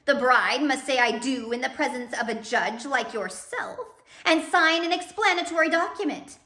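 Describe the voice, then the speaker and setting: A woman's voice: high-pitched, expressive, moderate pace, bright, forceful tone, echoey room, voice pushed up. A woman voicing a gloating, pompous villainous count in a storybook, theatrical, commanding and smug.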